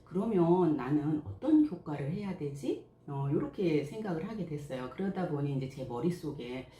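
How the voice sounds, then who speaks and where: Deep-voiced woman in her sixties, measured. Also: big pitch swings, warm tone. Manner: slow, measured, modest storytelling. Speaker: elementary school principal and picture book author. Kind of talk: interview answers. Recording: echoey room, faint background noise.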